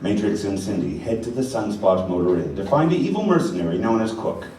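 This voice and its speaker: A man's voice: lowish voice, sing-song, a little fast, mellow. Man as dramatic narrator, very echoey hall. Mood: solemn recounting. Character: dramatic narrator of a parody action story. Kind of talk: scripted radio-play narration. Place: rehearsal hall live performance. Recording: very echoey hall, distant, noisy.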